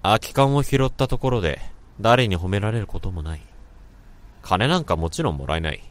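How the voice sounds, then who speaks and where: A man's voice: lowish voice, expressive, a little fast, a little hiss or hum, natural voice. An actor playing a weary, cynical middle-aged office worker who narrates his own story with dry, sardonic wryness in a radio drama. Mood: brooding. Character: weary cynical male salaryman, inward-looking.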